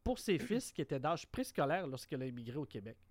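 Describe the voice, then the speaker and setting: Homme québécois à la voix assez haute et sèche. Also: male voice, on the high side, sing-song, fast talker, no room sound. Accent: Québécois French. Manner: reads news items then comments, with many fillers and restarts. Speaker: radio talk show host. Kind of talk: talk radio commentary.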